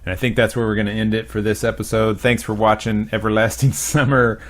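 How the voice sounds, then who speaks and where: A man reading a visual novel aloud as a let's play host, casual and profane, now and then bursting into shouts. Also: lowish voice, little pitch movement, a little fast.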